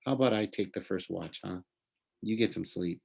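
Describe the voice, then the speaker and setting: A man voicing a gentle, modest young male satyr, speaking in an earnest, reassuring way as part of a storybook reading. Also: low voice, normal speed, vocal fry, slightly rough, full character voice. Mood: soft, resigned.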